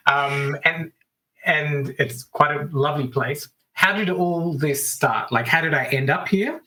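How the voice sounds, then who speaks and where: A middle-aged man with an Australian accent, a business coach chatting as a guest over a remote call, upbeat with quick asides. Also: fairly high pitch, bright, forceful tone, low-quality recording. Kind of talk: casual interview storytelling.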